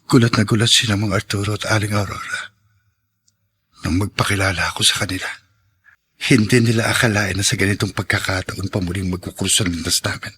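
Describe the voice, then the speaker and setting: A man's voice: lowish voice, steady tone, fairly quick, bright, forceful tone, husky, heavily acted. A man playing a bitter father set on revenge, in a radio drama. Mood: vengeful.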